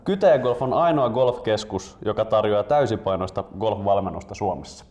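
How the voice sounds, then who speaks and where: A man's voice: on the low side, darkish tone. A man in his twenties hosting, moving between presenter-style announcements and casual chat. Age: 20s. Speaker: host.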